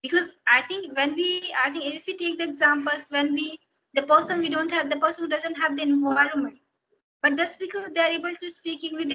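A woman from Bihar with an Indian accent and native Hindi, explaining steadily as an English-teacher guest on a video call. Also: high voice. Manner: steady, explanatory, repeats phrases while thinking. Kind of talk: casual interview on a video call. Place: small room indoors. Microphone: headphones on a video call. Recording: dull lo-fi video call audio with faint noise.

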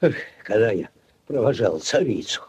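A man playing a pompous, self-important village headman in a 1940s radio play, blustering and stammering in a husky voice. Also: on the low side, very animated, moderate pace, darkish tone, a little hiss or hum. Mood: blustering, commanding. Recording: old 1940s radio recording.

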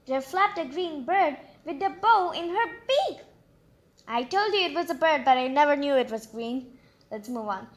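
A woman reading a children's storybook aloud expressively, breaking off for chatty, exclamatory asides of her own. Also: high voice. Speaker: storybook reader and channel host.

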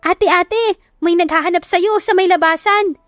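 A woman voicing a teasing, giddy younger sister in an audio romance, excitable and nagging, with a Filipino accent. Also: very high voice, a little slow, no room sound, studio quality, pitched above the performer's own voice. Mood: excited.

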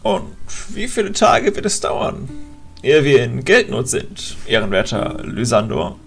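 Pompous cheery old wizard chancellor, voice swoops all over, fantasy audio play w music. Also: male voice, mid-range voice, big pitch swings, a little slow, gravelly, fairly bright tone, heavily acted. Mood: delighted, curious. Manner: pompous, flowery, delighted. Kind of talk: fantasy audio drama acting.

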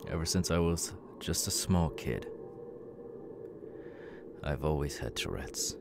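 A man's voice: rumbling low, animated, slow, vocal fry, background noise, heavily acted. A man playing an unhinged young killer with tics and a stutter, stammering, muttering and yelping out sudden words in an ASMR audio roleplay. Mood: troubled, frustrated. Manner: stammering, sudden yelped outbursts, muttered asides.

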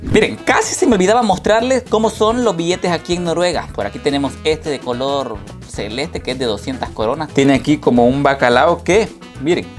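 A man's voice: on the high side, fairly quick. A young man from Latin America, chatting to viewers while filming himself at arm's length. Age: young adult. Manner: chatty, addresses viewers directly. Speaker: travel vlogger. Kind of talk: casual travel vlog narration. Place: outdoors in snowy streets and on a bus. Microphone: handheld camera at arm's length. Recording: background music under voice.

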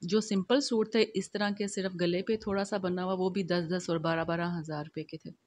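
A middle-aged woman narrates her own vlog over the footage, chatty and homely, leaning on little fillers as she goes. Her voice sits fairly low and stays level, with little rise or fall. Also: steady tone, normal speed, a little mellow, no room sound. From Pakistan. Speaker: vlogger.

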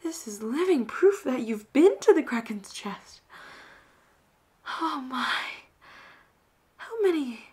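Woman acting a breathy, flirty female pirate captain. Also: sits high, sing-song, a little mellow, heavily acted. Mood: amazed. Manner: teasing, drawn-out endearments, often drops to a whisper. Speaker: voice actor in roleplay audio. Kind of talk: flirty roleplay audio acting, often whispered.

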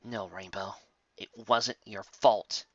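A man voicing a steady, caring female earth pony, speaking slowly and soothingly. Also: mid-range voice, no room sound. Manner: slow and soothing, drawn out. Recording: dull lo-fi dry recording.

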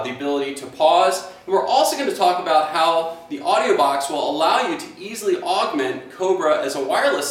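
A middle-aged man giving an instructional product demonstration in a deliberate, explanatory way. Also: on the high side, low-quality recording. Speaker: product presenter.

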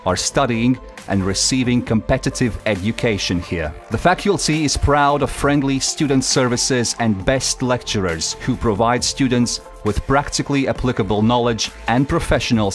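A man narrating a promotional voice-over for a university faculty, formal and measured. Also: mid-range voice, faint music behind.

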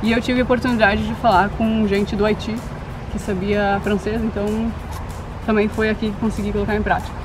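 A woman of about eighteen, chatting casually outdoors in a grassy field and pausing to think as she talks. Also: mid-range voice, over music, rough recording. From Brazil. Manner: relaxed, thinks aloud with pauses. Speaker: vlog co-host. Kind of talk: casual chat. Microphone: camera mic at arm's length.

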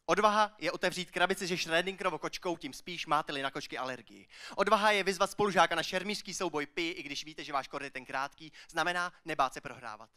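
Male slam poet, high-pitched, sharp bright tone, emphatic rhythmic stage delivery, rhymed verse. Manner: declamatory, emphatic, with rises and hushed line endings. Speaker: slam poetry performer. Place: on stage at a slam poetry final.

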